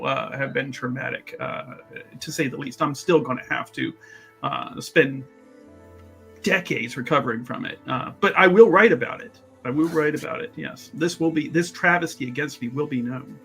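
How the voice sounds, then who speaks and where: A man's voice: mid-range voice, normal speed, gravelly, a bit bright, faint music behind, voice pushed down. A man playing a chummy, self-important, pampered scholar in a tabletop game, effusive and rambling, with boastful turns. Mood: aggrieved, dramatic. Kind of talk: tabletop roleplay dialogue.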